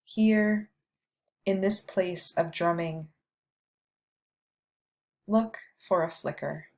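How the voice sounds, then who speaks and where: Slow, low-voiced woman guiding meditation. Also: a little mellow. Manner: slow, measured delivery with long pauses. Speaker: meditation guide. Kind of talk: guided meditation, scripted poetic narration.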